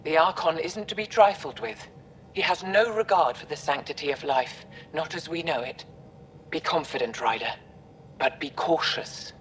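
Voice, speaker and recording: An actor playing a calm, dignified alien sage in a sci-fi game, measured, formal and gentle. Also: male voice, on the high side, slight background noise, natural voice. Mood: solemn. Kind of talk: cinematic sci-fi game acting.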